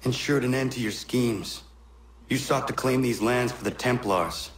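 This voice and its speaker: An actor playing an earnest, resolute young warrior in a video game drama. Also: male voice, medium pitch, a little slow, a little hiss or hum, close to an everyday voice, low-quality recording. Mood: stern.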